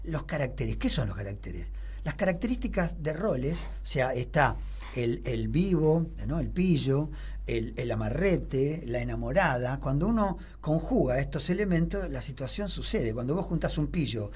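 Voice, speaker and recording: A man's voice: mid-range voice, lively intonation, moderate pace, darkish tone, slight background noise. A middle-aged man with a Rioplatense Spanish accent, a theater teacher explaining history on a radio show in a rambling way, full of fillers and restarts. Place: radio studio.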